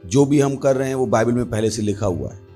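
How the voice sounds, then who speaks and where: A man's voice: medium pitch, brisk, dark tone, soft background music. An Indian man preaching as a pastor, teaching emphatically with repeated phrases and refrains. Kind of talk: sermon with prayer and Bible teaching.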